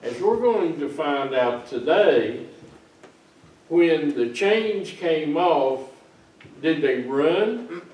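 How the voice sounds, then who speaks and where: Older pastor, slow and folksy, roomy church. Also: male voice, a little high, animated, a little mellow. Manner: folksy, slow, teasing and chatty with kids. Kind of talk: children's sermon. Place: small church sanctuary, seated among children. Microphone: far camera. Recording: roomy church sound from far off.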